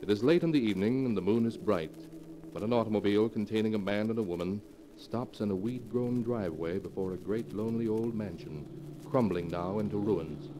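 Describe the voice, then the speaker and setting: A man narrating a 1940s radio horror show as an ominous storyteller, with theatrical, drawn-out delivery. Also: on the low side, close to an everyday voice. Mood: slow, eerie. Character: ominous male storyteller of dark, terrible tales. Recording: noisy 1940s radio recording.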